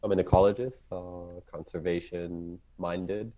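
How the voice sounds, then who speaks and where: A man from Israel with an Israeli accent, English not his native tongue, talking casually as a guest and often pausing to search for words. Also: deep voice, steady tone, dull audio. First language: Hebrew. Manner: halting, searching for words, many fillers and restarts. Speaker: ecologist guest. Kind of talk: casual interview talk.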